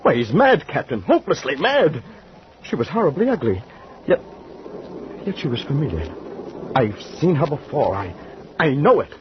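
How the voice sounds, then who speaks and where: An actor playing an anxious young passenger who narrates and reacts excitably in a 1940s radio drama. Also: male voice, fairly high pitch, moderate pace, pauses often. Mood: shaken. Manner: nervous, excitable. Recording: dull noisy old radio recording.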